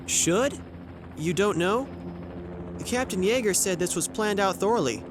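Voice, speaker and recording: Young male reporter, played by a man: earnest, nervous, high and animated, full of questions, audio drama. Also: a little slow, background noise. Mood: questioning, dry. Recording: music behind the voice.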